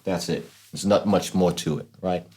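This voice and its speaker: A man's voice: deep voice, steady tone, normal speed, darkish tone. A man hosting an instructional demonstration, explaining things informally and often checking in with the listener. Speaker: host of a talk box tutorial series.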